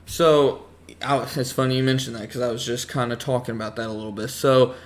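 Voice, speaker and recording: A young man casually explaining his trading to viewers on a livestream, relaxed and full of fillers. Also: medium pitch, brisk. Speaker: stock trading streamer.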